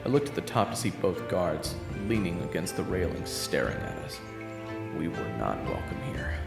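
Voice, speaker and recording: A man's voice: low-pitched, sing-song, moderate pace, gravelly, over music. A man narrating a first-person audio roleplay, speaking to the listener as "you". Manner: storytelling, addresses the listener as "you". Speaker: narrator and main character. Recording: roomy echo.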